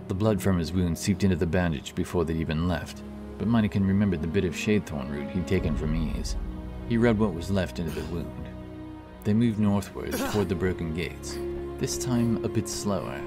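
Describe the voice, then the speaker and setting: A man narrating a fantasy audiobook in a steady, dramatic storytelling manner. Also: low-pitched, fairly quick. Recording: with loud music behind.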